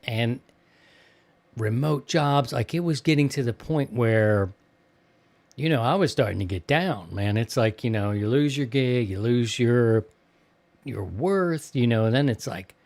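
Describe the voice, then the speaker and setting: A man's voice: medium pitch, expressive, a little slow, darkish tone. Casual middle-aged man hosting a show. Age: early fifties. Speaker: host of a ham radio show. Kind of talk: casual podcast chat.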